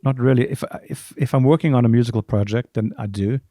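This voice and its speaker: A middle-aged man with a softened South African accent, answering interview questions casually in measured, halting phrases. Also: medium pitch, steady tone, no room sound, studio quality. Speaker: podcast guest, music arranger.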